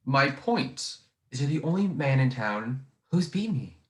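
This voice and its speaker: A man's voice: medium pitch, sing-song, measured pace. A young man playing a domineering, scheming old tycoon in a 1940s-style radio play, with an imperious, cajoling manner. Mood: cajoling, condescending. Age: young adult. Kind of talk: 1940s radio drama acting. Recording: echoey room over video call.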